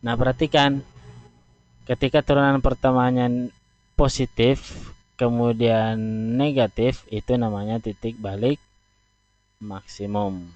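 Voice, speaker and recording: A man teaching math, talking through a graph step by step with slow, deliberate pauses. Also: mid-range voice, fairly even intonation, a little mellow. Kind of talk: explaining at a board. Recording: dull, dry lo-fi recording.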